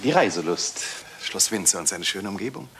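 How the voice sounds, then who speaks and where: Low, bright-voiced evasive doctor. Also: male voice, animated, a little fast, slightly rough, exaggerated voice. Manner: formal, polite, evasive. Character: smooth, evasive Italian male doctor under suspicion. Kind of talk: German radio crime drama acting. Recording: slightly hissy old radio play recording.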